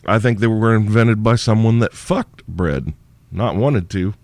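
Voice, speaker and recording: A man's voice: lowish voice, a little slow, darkish tone, dry recording. A male podcast host answering listener questions in a crude, irreverent, rambling way, sounding sleepy and tired.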